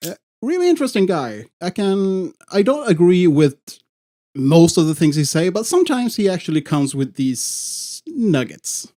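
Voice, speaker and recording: A male podcast host with a somewhat high voice talks through an idea casually. He stops and restarts often and fills gaps as he goes. The delivery is loose and unscripted. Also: big pitch swings, dark tone. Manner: halting, with many fillers and restarts. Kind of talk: casual chat.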